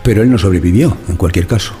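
A man's voice: low-pitched, quick pace, warm tone, faint music behind, lightly acted. A man playing a formal, probing examiner who questions a survivor in a radio drama.

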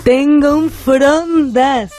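A woman's voice: sits high, steady tone, drawn-out words, loud music behind the voice. A woman playing a flustered, devout village wife in a comic radio sketch, rambling and repeating words with nervous verbal tics. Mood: rambling, agitated. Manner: rambling, repeats words, recites lists when agitated.

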